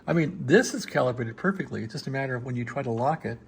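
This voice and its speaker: A man giving a casual, rambling show-and-tell as a hobbyist, laid-back and halting as he thinks aloud. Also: mid-range voice, animated, vocal fry, a little hiss or hum. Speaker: hobbyist showing a restored radio receiver.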